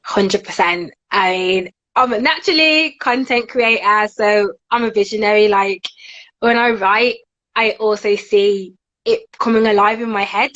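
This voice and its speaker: A woman's voice: mid-range voice, a little slow, fairly bright tone, dull audio. A young woman talking as a guest author in a casual live-stream interview over a video call, enthusiastic and chatty with a lot of "like"s. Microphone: phone front camera over video call.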